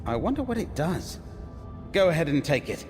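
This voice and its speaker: An actor playing an eager, friendly and curious adventurer companion in a video game. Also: male voice, on the high side, very animated, normal speed. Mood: curious, alert. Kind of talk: scripted video game dialogue. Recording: long reverb, loud game music behind. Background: game music.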